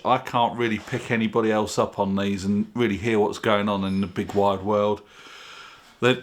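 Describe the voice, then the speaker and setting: A man's voice: on the low side, fairly bright tone. A man presenting his own video in a chatty, informal, plain-spoken way, explaining things casually to camera. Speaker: video channel host.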